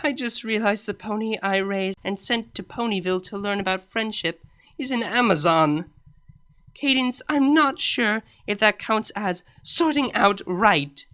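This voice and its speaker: A woman's voice: medium pitch, unhurried, fairly bright tone, voice pushed up, close to an everyday voice. A woman voicing a weary, regal pony princess and mentor in a comic storybook, exasperated, sardonic and dramatic.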